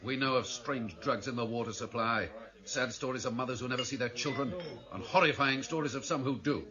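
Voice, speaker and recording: An actor in a radio drama playing a grave, commanding male leader of an underworld council, speaking formally and with measured authority. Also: medium pitch, crowd noise, natural voice. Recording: dull, band-limited radio drama recording.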